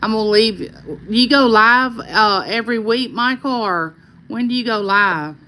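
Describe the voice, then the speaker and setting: A woman with a Southern Arkansas accent hosts a casual livestream, reading viewer comments aloud and answering each by name in a calming voice. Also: on the high side, unhurried, slight background noise. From California. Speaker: livestream host.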